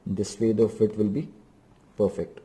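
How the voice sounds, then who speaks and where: Dark, mellow-voiced man explaining. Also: fairly low pitch, little pitch movement, unhurried. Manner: explains step by step, repeats instructions for emphasis. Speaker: DIY vlogger. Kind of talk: unscripted hands-on tutorial narration.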